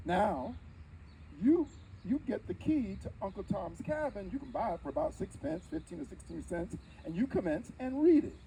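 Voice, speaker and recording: A man playing a dignified, wry nineteenth-century preacher, telling his story in emphatic theatrical oratory with deliberate dramatic pauses. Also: high-pitched, sing-song, creaky, dark tone, exaggerated voice. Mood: instructive, wry. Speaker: live history interpreter. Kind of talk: theatrical first-person oratory before an audience.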